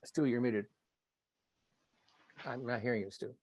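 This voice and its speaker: A man's voice: mid-range voice, fairly even intonation, measured pace, darkish tone, slightly rough, no echo. A man chairing an online board meeting, running it in brief procedural remarks. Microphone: video call.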